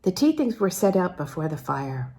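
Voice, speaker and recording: A woman reading literary fiction aloud as an audiobook narrator, measured and expressive, with deliberate pauses. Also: lowish voice. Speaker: audiobook reader.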